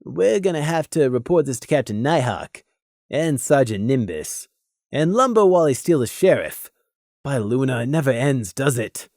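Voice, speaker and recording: A woman's voice: a bass voice, very animated, moderate pace, a little mellow, no room sound, studio quality, exaggerated voice. A woman voicing a burdened male pony sergeant with a brusque, commanding, clipped way of talking in an audio story. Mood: weary muttering.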